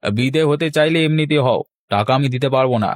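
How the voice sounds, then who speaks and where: A man's voice: medium pitch, brisk, no echo, close to an everyday voice. Male actor as tight-fisted, quarrelsome cartoon husband; sarcastic scolding, medium register, dry studio sound. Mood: dismissive, argumentative. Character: stingy, grumbling married man who loves free feasts. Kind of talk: Bengali cartoon voice acting.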